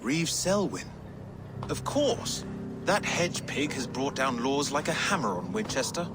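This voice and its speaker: An actor playing a wry, defiant medieval English reeve with a salty, sardonic turn of speech, in a video game. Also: male voice, mid-range voice, big pitch swings, unhurried, over loud music. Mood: indignant, scornful. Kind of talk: dramatic video game acting.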